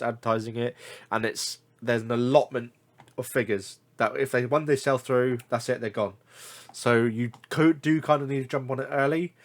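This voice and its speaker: A man from the UK with a blocked nose, chatting casually about collectible figure deals, rambling with many fillers and self-corrections. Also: medium pitch. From United Kingdom. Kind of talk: casual screen-share commentary.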